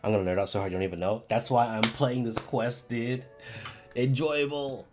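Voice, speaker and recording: Very low-voiced, excitable woman streamer. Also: moderate pace, over music. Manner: excitable, foul-mouthed, casual. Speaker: game streamer. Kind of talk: live stream reaction commentary.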